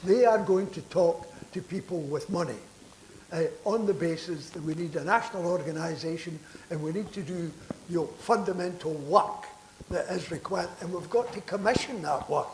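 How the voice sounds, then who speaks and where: A man's voice: high voice, moderate pace. An older man who is a veteran politician, talking earnestly on a conference panel and restarting words as he presses his point. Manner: earnest, persuasive, repeats words when stressing a point. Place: conference hall. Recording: echoey hall with background noise.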